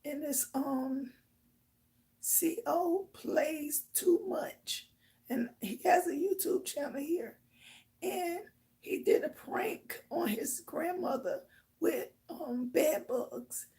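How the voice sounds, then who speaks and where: A woman with a hoarse, raspy voice hosts her own live chat, speaking slowly with long pauses and a high-pitched tone. A gravelly fry runs through her speech, and she rambles with plenty of fillers. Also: mellow. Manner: rambling, filler-heavy storytelling. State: hoarse from shouting. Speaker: livestream host. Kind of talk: casual chat to viewers.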